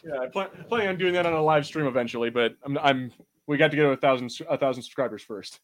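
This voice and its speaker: A man's voice: mid-range voice, quick pace. A man talking in a casual, slangy and enthusiastic way as a podcast host at a movie watch party. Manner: slangy, enthusiastic, mildly sweary.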